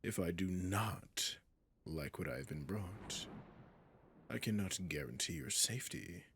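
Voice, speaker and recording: A man playing a haughty, possessive dragon king in a roleplay audio drama, speaking in slow, deliberate, formal phrases with a breathy, husky voice that often drops near a whisper. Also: sits low, animated, a bit bright, slight background noise, exaggerated voice. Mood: cold, threatening. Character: imperious, possessive male dragon king, menacing and seductive.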